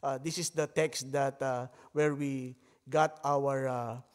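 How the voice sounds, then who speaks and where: A man's voice: medium pitch, steady tone, clear, resonant tone. A man from the Philippines with a Filipino accent and Tagalog as his first language, preaching a sermon at a pulpit microphone with frequent hesitations. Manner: halting delivery with frequent hesitations; softer, hushed tone while praying. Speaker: visiting missionary pastor. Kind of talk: sermon with Bible reading and prayer. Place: church sanctuary. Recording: long church hall reverb.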